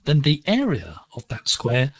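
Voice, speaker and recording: A man presenting a scripted educational lesson on shortwave digital radio, explaining in a measured, deliberate way. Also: mid-range voice, huge pitch swings, fairly bright tone. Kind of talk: scripted instructional narration.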